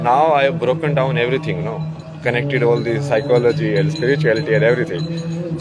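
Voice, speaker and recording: A man's voice: mid-range voice, low-quality recording. A young man from India with an Indian English accent, talking casually as a guest, rambling with lots of "like" and frequent laughter. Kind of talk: casual conversation.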